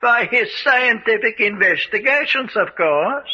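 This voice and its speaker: An actor playing a glib, coldly fanatical scientist with a pompous, precise manner in an old radio mystery serial. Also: male voice, very high-pitched, lively intonation, measured pace, bright, forceful tone, exaggerated voice. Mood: smooth, formal. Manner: pompous, precise, chuckling over grim matters. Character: glib, coldly fanatical male scientist, relishing fear experiments. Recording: dull lo-fi old radio recording.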